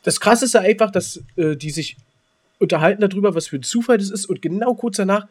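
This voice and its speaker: A man's voice: high-pitched, fast talker, breathy edge. A man co-hosting a podcast in casual, chatty banter, repeating himself for emphasis. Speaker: podcast co-host.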